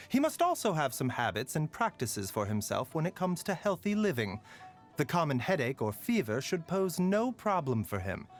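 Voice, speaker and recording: A man playing a gentle, courteous pharmacist doctor in a game, speaking in a soft, measured and politely wry manner. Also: mid-range voice. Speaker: game character voice lines. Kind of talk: scripted game voice lines.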